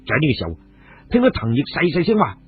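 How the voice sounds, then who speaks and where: A man's voice: a little high, huge pitch swings, fast talker. A man with a Cantonese accent narrating a dramatised story as a radio storyteller. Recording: muffled phone-like radio audio, music behind.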